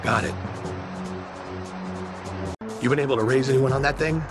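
An actor playing a terse, authoritative special agent in clipped video game cutscene dialogue. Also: male voice, mid-range voice, normal speed, over music, natural voice. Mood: terse, controlled. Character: terse, commanding male special agent leading stranded soldiers.

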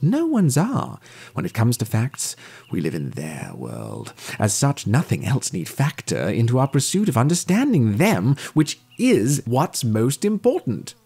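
An actor playing an earnest, opinionated detective in an audio drama, with a formal, declamatory, pedantic manner. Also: male voice, mid-range voice, huge pitch swings, measured pace, vocal fry, dark tone, breathy edge, heavily acted. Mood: earnest, self-righteous. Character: male detective, pompous, earnest, opinionated seeker of truth.

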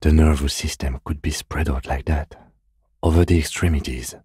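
Calm middle-aged police detective from a video game, super deep smooth voice, measured and restrained. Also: male voice, no room sound, clean studio sound, heavily acted. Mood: tense, hushed focus. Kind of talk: video game voice acting, understated dialogue.

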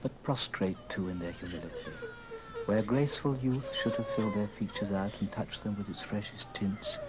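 A man narrating an old radio drama as a dramatic storyteller, with theatrical, deliberate phrasing. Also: lowish voice, wildly swooping pitch, rough recording. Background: music.

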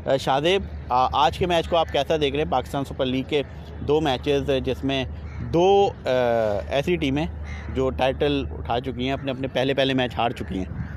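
Man in his 30s, field reporter, halting with filler pauses, handheld mic, outdoors, faint background noise. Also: mid-range voice. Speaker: sports reporter. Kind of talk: live street report and interview. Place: outdoors by a stadium.

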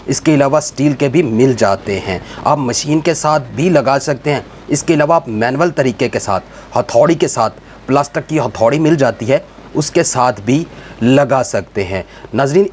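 A man's voice: medium pitch, slight background noise. A man with a Pakistani accent presenting a scripted explainer voice-over, instructing viewers directly and repeating his phrasing. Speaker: video presenter.

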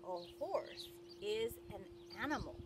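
A woman's voice: on the high side, expressive, a little slow. A woman voicing a prickly, offended storybook cactus in an indignant, emphatic manner. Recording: outdoor recording with clear birdsong noise.

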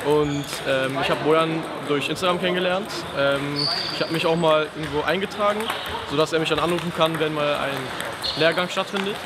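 A teenage boy from Berlin speaks to camera in a sports hall, a little hesitant and halting. The voice sits slightly high, with a noisy crowd of players loud behind him. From Berlin, Germany. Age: teens. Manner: hesitant, with fillers. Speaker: camp participant. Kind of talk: interview testimonial.

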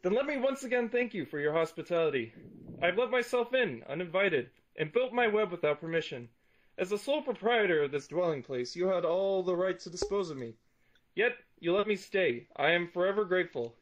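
A man's voice: sits high, expressive, fairly quick, highs cut off, slight background noise. A man voicing a courteous female spider who speaks in elaborately polite, old-fashioned phrases. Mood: gracious.

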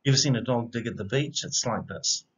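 A middle-aged man reading a rhyming children's picture book aloud in an expressive, measured storytelling manner. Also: medium pitch, breathy edge. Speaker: storybook reader, educator. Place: indoor room. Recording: slightly dull, lo-fi webcam sound.

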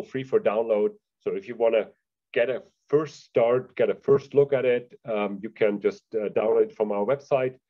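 A man answering a licensing question in a webinar in a relaxed, conversational way. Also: mid-range voice, highs cut off. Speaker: database vendor representative.

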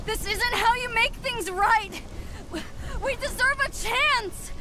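A woman's voice: extremely high pitch, slow pace, very noisy, heavily acted. A woman playing an earnest, brave but vulnerable teenage girl superhero in a video game, speaking with emotion. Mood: defiant. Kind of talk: cinematic video game acting.

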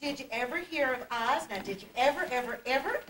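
Woman as high, swooping puppet storyteller. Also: lively intonation, brisk, a bit bright. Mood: merry. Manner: singsong, exclamatory, theatrical. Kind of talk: rhymed verse recitation in amateur puppet play. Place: indoor puppet stage, far camera. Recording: distant, echoey, noisy old camcorder tape.

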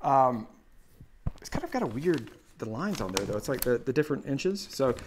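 A man's voice: medium pitch, big pitch swings, brisk, a little hiss or hum. Een man van in de dertig die als presentator losjes en uitleggerig over gereedschap praat, met een dasspeldmicrofoon in een werkplaats. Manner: chatty and explanatory, with restarts and laughs. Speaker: co-host of a woodworking live show. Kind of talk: casual live-stream chat. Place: home woodworking shop, indoors. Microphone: lapel mic.

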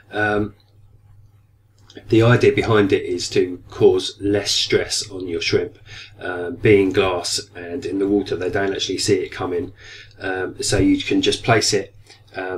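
Low flat-voiced man with a cold. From United Kingdom. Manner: hesitant, many ums and restarts. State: getting a cold, voice going slightly. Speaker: aquarium hobby vlogger. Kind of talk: casual product review. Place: small room indoors at a desk. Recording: distant mic, faint room noise.

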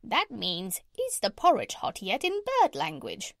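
A woman's voice: medium pitch, wildly swooping pitch, unhurried, vocal fry, no echo, clean studio sound, exaggerated voice. A woman voicing a proud, bossy female parrot in a children's storybook, speaking in an emphatic, instructive way. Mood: proud, showing off. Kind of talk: children's storybook character reading.

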